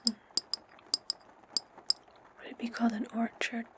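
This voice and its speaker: A woman playing an imperious, scheming witch in a breathy, condescending whisper for an ASMR fantasy roleplay. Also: a little high, completely flat delivery, heavy background noise, natural voice. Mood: commanding, sly. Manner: slow, deliberate, condescending. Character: imperious, scheming witch giving orders to her servant.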